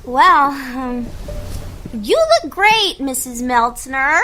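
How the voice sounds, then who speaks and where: A woman's voice: high voice, lively intonation, drawn-out words, sharp tone, faint music behind, exaggerated voice. An actress playing a chatty, impulsive young woman and loyal friend in a family radio drama, eager and teasing. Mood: awkwardly cheerful.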